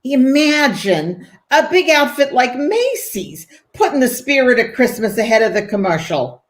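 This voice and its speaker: A woman's voice: sits high, big pitch swings, a little slow. A woman playing a brash, plain-spoken mother with blunt, slangy talk in a 1940s-style radio play. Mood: impressed. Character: brash, plain-spoken, exasperated working-class city mother.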